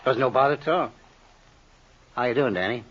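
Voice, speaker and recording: An actor playing a sly, needling hustler with an unhurried, falsely friendly manner in a 1950s radio drama. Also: male voice, medium pitch, a bit bright, lightly acted. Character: sly, smooth-talking, needling male hustler, an old buddy. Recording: muffled old radio recording.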